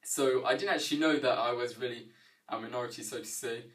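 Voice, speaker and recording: Young male student, calm and matter-of-fact, recorded slightly off-mic. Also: medium pitch, fast talker, distant mic. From England. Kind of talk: casual testimonial.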